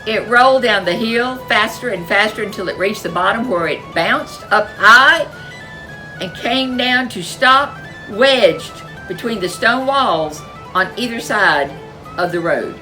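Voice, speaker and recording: A woman reading a folk tale aloud as a storyteller, expressive and animated. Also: medium pitch, measured pace, faint music behind, rough recording. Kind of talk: storybook read-aloud narration.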